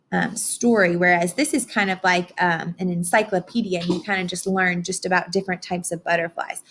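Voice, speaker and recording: Expressive woman reading to children. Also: mid-range voice. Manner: expressive, instructive, with dramatic pauses and hushed asides. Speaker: teacher reading a picture book aloud to children. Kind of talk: children's read-aloud with explanations and questions to listeners.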